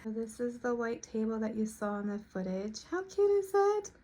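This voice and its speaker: A woman's voice: fairly high pitch, big pitch swings, normal speed, dark tone. An American woman chatting casually to camera as a craft vlogger, informal and thinking aloud as she goes.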